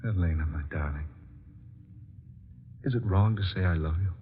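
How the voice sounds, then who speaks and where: A man's voice: low voice, moderate pace, lightly acted. A man acting an earnest, dignified scientist with formal, theatrical phrasing in an old-time radio drama. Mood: tender. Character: earnest, dignified male scientist doctor, hero in love. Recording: muffled, noisy old radio recording.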